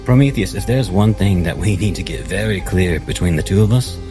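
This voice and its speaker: Earnest, guarded crewman, acted by a man, very low voice pushed emphatically, used to command, music behind, cinematic space tabletop game. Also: fairly quick, natural voice. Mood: firm. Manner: emphatic, declamatory.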